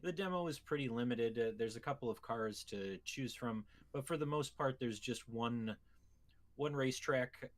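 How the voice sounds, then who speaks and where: A man's voice: mid-range voice, moderate pace. A man with a mid-range voice talks casually as a co-host on a gaming show. He discusses video games in an informal, conversational way.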